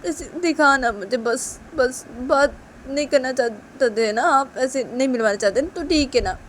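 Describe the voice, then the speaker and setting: A woman's voice: sits high, moderate pace, slight background noise, close to an everyday voice. A woman voicing a young female employee who begs earnestly and tearfully, repeating please.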